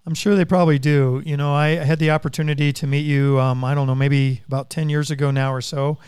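Crisp, dry-sounding male podcast host. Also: on the high side, level tone, brisk, darkish tone, no echo. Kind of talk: conversational interview, praising guest.

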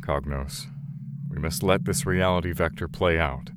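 A man voicing a grave overseer intelligence in a science fiction audio drama, speaking in a formal, measured, solemn way. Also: sits low, noisy background. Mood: solemn, deliberating. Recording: loud music behind the voice.

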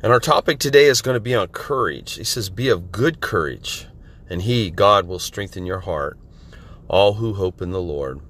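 A middle-aged man from Central California, hoarse from a head cold, giving an informal podcast sermon in a halting way with many pauses and fillers. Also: low-pitched, expressive, a bit bright. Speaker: podcast minister.

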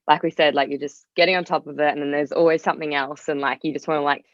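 A woman's voice: sits low, fairly even intonation, fast talker, no room sound, dull audio. A young Australian woman speaking as a podcast guest in a casual, candid way, rambling and often saying "like". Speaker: podcast guest, singer-songwriter. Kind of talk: casual conversational interview.

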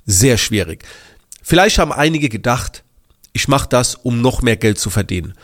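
A man's voice: medium pitch, moderate pace. A man hosting his podcast, slightly congested from a cold, telling a story casually with lots of fillers and restarts. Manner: animated, many fillers and restarts. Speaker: podcast host.

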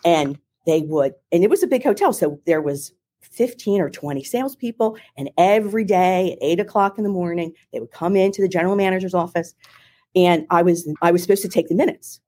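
A middle-aged woman with a Philadelphia accent, telling her career story in an interview in a chatty, animated way with many restarts. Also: fairly low pitch, brisk. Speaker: marketing executive, guest. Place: home or office in Ohio, remote call.

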